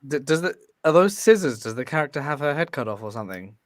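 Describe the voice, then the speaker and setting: A man's voice: fairly high pitch, expressive, quick pace, dry recording, studio quality. A man speaking as a panel guest in a casual debate, politely explaining his opinions. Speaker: guest panelist, podcast host. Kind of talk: casual debate about horror films.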